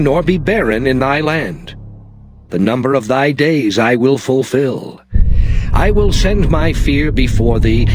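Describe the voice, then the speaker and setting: A man delivers a dramatized scripture reading with solemn, declamatory weight. His pitch sits in a middle register and moves expressively through each measured phrase, with music clearly behind him. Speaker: Bible narrator.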